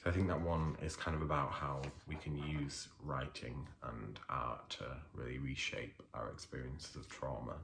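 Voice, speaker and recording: A man's voice: very deep voice, fairly even intonation, a bit bright, a little hiss or hum. A man, working as a poet, gives a hesitant introduction full of fillers and then reads his poems aloud. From Leeds, England. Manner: hesitant, unhurried talk with many fillers. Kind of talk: introduction and poetry reading.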